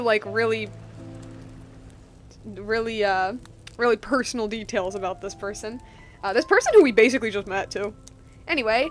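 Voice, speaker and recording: Young woman streamer w a highish voice, slangy rambly game reactions, bit of music behind. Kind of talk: casual live gameplay reaction.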